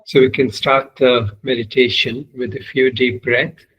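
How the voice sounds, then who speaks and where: Slow, even-toned man guiding meditation. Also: medium pitch, husky, muffled recording. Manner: measured, unhurried instruction with long pauses. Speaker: meditation teacher. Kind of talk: guided meditation.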